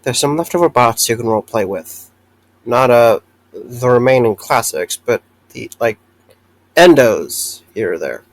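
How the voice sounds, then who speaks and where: A man's voice: medium pitch, expressive, measured pace. A man playing a jumpy, apologetic former security guard in a fan audio drama, hesitant and stammering. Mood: nervous pleading.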